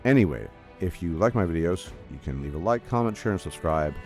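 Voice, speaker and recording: Chatty deep-voiced host with a stuffy cold, faint music underneath. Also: male voice, lively intonation, fairly quick, darkish tone. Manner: enthusiastic, chatty, formal greeting. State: congested from a cold. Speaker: gaming video host. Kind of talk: casual solo commentary to camera.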